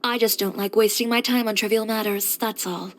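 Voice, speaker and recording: A woman acting as a self-assured young boutique tailor from a video game. She is blunt and headstrong yet composed, speaking in a mid-pitched voice with a matter-of-fact, dryly confident delivery. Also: fairly even intonation, brisk, close to an everyday voice. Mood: frank, defiant. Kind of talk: video game character voice lines.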